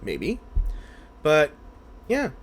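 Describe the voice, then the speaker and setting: A man's voice: a little high, wildly swooping pitch, extremely slow, soft background music. A man doing casual gameplay commentary in a skeptical, rambling manner. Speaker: gaming video creator.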